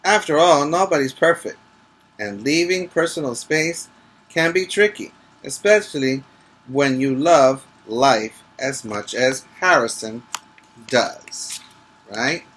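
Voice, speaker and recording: A man's voice: medium pitch, slow pace, a bit bright, slightly rough, low-quality recording. A male teacher reading a picture book aloud to preschoolers, adding instructive asides and short questions for the young listeners.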